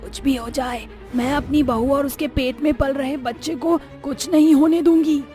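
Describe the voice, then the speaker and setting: A woman's voice: sits high, steady tone, normal speed, warm tone, soft background music, lightly acted. A woman voicing a devoted, protective older mother-in-law turned witch in a cartoon story. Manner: caring, earnest, dramatic.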